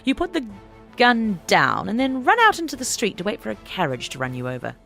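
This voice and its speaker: A woman voicing a menacing, smooth-talking male villain with a purring, taunting manner in an audiobook. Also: mid-range voice, huge pitch swings, brisk, fairly bright tone, faint music behind, voice pushed up, heavily acted. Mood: purring menace. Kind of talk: audiobook character voice.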